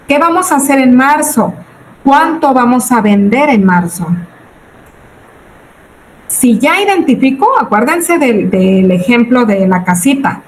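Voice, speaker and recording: A woman in her forties with a Mexican accent, giving an informal lecture to students in a didactic, question-and-answer manner. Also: high-pitched. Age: 40s. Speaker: business budgeting teacher. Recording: roomy echo, background noise.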